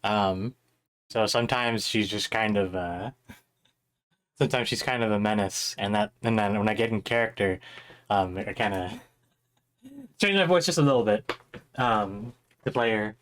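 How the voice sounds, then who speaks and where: A young man, a little hoarse, teaching a drawing class on a live stream in a chatty, rambling way with many fillers. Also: fairly low pitch, unhurried, pauses often, fairly bright tone. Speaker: art instructor streaming a drawing workshop.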